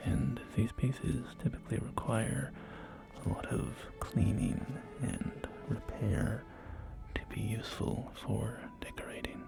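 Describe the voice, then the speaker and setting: A whispered, breathy ASMR roleplay voice playing a polite, genteel real estate agent, unhurried and hesitant, with refined phrasing. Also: medium pitch, fairly even intonation. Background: soft music.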